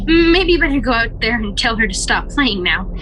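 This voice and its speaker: A woman's voice: on the high side, expressive, normal speed, music in the background, lightly acted, poor audio. A woman playing a frantic, terrified wife and mother in an amateur audio horror drama, pleading and repeating herself. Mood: anxious, evasive. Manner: pleading, stammering repetition.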